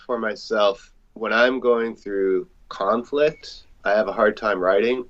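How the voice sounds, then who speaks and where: A man's voice: on the low side, slow, fairly bright tone, lo-fi sound. A man talking casually as a musician in a group interview. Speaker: musician, singer and songwriter. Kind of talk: casual chat.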